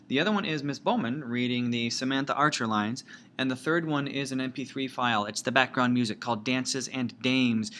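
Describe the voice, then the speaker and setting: A man giving informal step-by-step software instruction as a teacher, with folksy, playful phrasing. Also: medium pitch, a little hiss or hum. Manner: folksy, playful asides and sound words.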